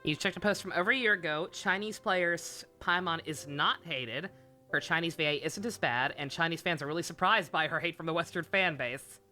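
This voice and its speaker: Bright, low-voiced woman streaming casually. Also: fairly quick, soft background music. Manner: rambling, blunt, swears freely. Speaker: game livestreamer. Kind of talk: casual livestream commentary.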